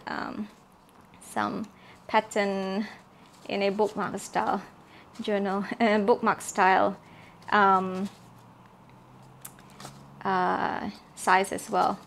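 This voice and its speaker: A soft-spoken woman with a gravelly, creaky texture to her voice walks through her craft kits in a casual show-and-tell. She talks at an easy middle pitch, stopping often for fillers and restarts. A faint hiss sits under the recording. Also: little pitch movement, takes it slowly, long pauses. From Malaysia. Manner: soft-spoken, many fillers and repeated words. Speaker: craft journal maker showing her kits.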